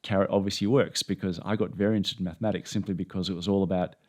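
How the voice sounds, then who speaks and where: Deep-voiced man hosting. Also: steady tone, very fast, darkish tone. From Australia. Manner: rambling, anecdotal, self-deprecating. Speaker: podcast host. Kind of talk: casual interview chat.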